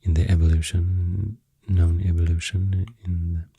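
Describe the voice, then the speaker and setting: A woman with a Swedish accent doing soft-spoken ASMR, hushed and halting, with a deep, breathy, often whispery voice close to the mic. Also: flat delivery, dry recording, lo-fi sound. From Sweden. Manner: slow, hushed, halting with long pauses. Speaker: ASMR host. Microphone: close microphone. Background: soft pencil and clicking sounds.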